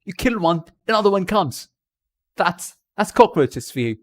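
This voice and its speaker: A man's voice: sits high, sing-song, normal speed, no echo. A man doing a put-on British accent, chatting on a live stream from India and giving rambling, jokey tips.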